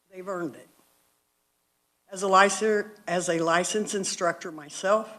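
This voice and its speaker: High-voiced barber instructor giving testimony. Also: male voice, a bit bright. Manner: earnest, reads from a statement. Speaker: licensed barber instructor and shop owner. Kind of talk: prepared testimony.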